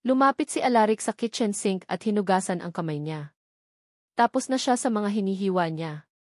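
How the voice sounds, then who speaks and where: A woman narrates a romance novel in the first person. Her voice sits at a medium pitch, and the sound is close and dry, with hardly any room on it. It is scripted storytelling, read aloud. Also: animated, normal speed, darkish tone, dry recording. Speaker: audiobook narrator.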